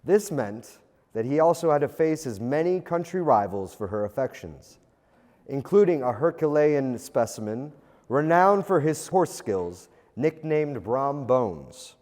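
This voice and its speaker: A man reading a classic tale as a storyteller, with a theatrical, expressive, old-fashioned literary delivery. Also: medium pitch, unhurried. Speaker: storyteller, audiobook reader. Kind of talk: dramatic scripted narration of a classic ghost tale. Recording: long reverberant hall echo.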